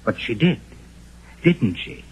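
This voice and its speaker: An actor playing a cool, calculating middle-aged husband with a terse, dryly patient manner in a 1950s radio drama. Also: male voice, slow pace, natural voice. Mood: calculating, leading. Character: cool, calculating greying husband, detached murderer. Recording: muffled 1950s radio recording.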